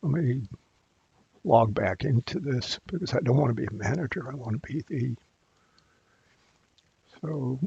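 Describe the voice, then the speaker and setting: A man presenting an unscripted technical screencast tutorial, thinking aloud in a halting way as he goes. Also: medium pitch, creaky, warm tone, breathy edge, no echo, lo-fi sound. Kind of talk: unscripted software screencast walkthrough.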